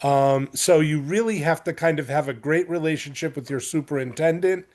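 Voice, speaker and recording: A man's voice: a little high, normal speed, a little mellow. An American man speaking off the cuff in a board chairs' meeting, explaining his view and advising in a conversational way. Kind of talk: extemporaneous meeting discussion.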